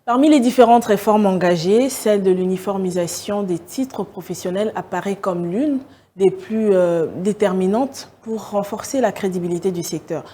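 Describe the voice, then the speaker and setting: A woman's voice: mid-range voice, moderate pace, dark tone, breathy edge. A woman from the Democratic Republic of the Congo answering interview questions formally, explaining at length with hesitant fillers. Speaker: interviewee, education sector official.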